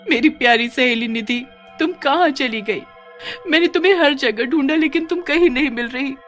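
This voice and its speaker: A woman's voice: high voice, normal speed, a bit bright, faint music behind, pitched above the performer's own voice. A woman voicing a tender, anxious young village woman in a cartoon story, in a plaintive manner. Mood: grieving.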